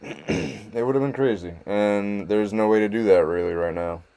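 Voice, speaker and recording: A man in his thirties, a guest rapper, chatting casually on a podcast in a halting, self-deprecating way, laughing often. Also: lowish voice, vocal fry, warm tone, clean tone, rough recording.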